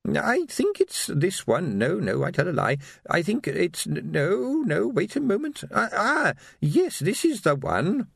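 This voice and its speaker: A man's voice: high-pitched, big pitch swings, unhurried, gravelly, few pauses, husky, dry recording, studio quality, pitched above the performer's own voice, full character voice. A man voicing a tiny, querulous old lady shopkeeper in an audiobook, thin and hesitant. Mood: flustered. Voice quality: thin, quavering. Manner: hesitant, dithering, polite.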